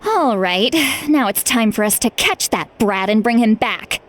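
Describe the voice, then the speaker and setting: Earnest, higher-pitched female game leader. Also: lively intonation. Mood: decisive, businesslike. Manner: measured, earnest, decisive. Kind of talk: video game voice acting.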